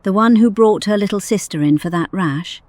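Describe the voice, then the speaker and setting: A woman playing a brisk medic team leader in an audio drama, firm and dry-witted. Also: medium pitch, darkish tone, no room sound, lightly acted.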